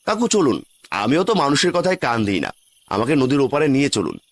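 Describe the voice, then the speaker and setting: A man voicing an anxious, earnest young village man, polite and stubborn, in an animated horror story. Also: mid-range voice, fairly quick. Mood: determined. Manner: anxious, earnest, stammering when afraid. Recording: long reverb on the voice.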